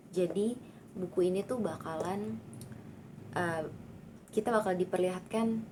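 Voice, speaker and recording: A young woman author casually chatting about her own book in an upbeat, filler-heavy way. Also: fairly low pitch. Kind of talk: casual book talk vlog. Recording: audible background noise.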